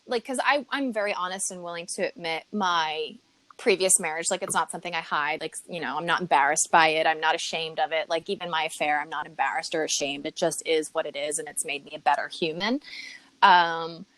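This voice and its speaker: A woman in her forties chats freely as a guest, circling her stories with likes, ums and restarts. Her voice sits a little low. The sound is very dry and close. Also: fairly quick, a bit bright, no echo, poor audio. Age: 40s. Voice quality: chatty storyteller, many filler likes and ums. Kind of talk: casual chat.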